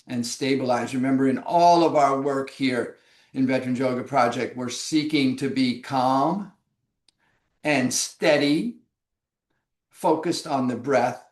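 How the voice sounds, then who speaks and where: A man leading a yoga class through breathing exercises, giving slow, calm, measured cues. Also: medium pitch, roomy sound. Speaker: yoga instructor. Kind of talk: guided breathing instruction.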